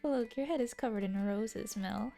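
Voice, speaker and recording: Sweet pouting kid sister, high and teasing, mellow tone. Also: female voice, moderate pace, gravelly, soft background music. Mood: playful. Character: young girl, playful pouting little sister.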